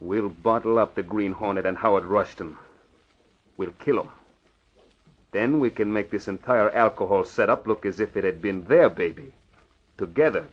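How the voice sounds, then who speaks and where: A low male voice of an actor makes a cold crime boss of the radio serial era. He is curt and scheming, holding anger in check with open menace. The recording is dull and old. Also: normal speed, natural voice. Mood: calculating. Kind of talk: old-time radio drama acting.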